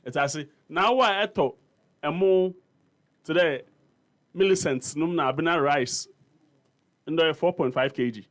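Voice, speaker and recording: A man's voice: on the high side, unhurried, pauses often. Insistent Ghanaian man arguing prices. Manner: argumentative, insistent, mixing English and Twi. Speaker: panel guest. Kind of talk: heated political debate. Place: radio studio.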